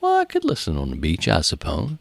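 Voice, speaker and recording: A man in his thirties talking through his podcast in a loose, rambling way, circling back and repeating his points. His voice sits on the low side and comes across dry and close, with no room around it. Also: wildly swooping pitch, a little fast, vocal fry, no echo. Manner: rambling, conversational, repeats phrases for emphasis. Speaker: podcast host. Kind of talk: casual solo podcast talk.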